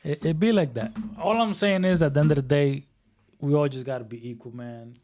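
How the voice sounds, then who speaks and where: A man's voice: a little high, a little fast, darkish tone. A man from the Dominican Republic, co-hosting a casual podcast in an easygoing, emphatic, joking way. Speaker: podcast co-host. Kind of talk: casual chat.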